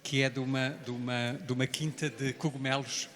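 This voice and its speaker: Older male panel moderator, medium pitch, halting informal delivery, long hall reverb. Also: level tone, a bit bright. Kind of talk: informal hosting of a public discussion. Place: large indoor hall, public event.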